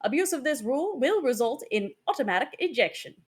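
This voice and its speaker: A woman's voice: high-pitched, very animated, a little fast, slightly rough, heavily acted. A woman playing an official announcer reading out game rules in a formal, emphatic, carefully enunciated manner. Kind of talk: scripted table read, formal announcement.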